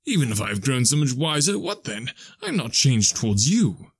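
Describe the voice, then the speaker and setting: A man's voice: mid-range voice, sing-song, moderate pace, husky, dry recording, pitched above the performer's own voice. Male reader as a young miser, curt and defensive, near his own voice, dry. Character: guarded young man grown greedy, defensive.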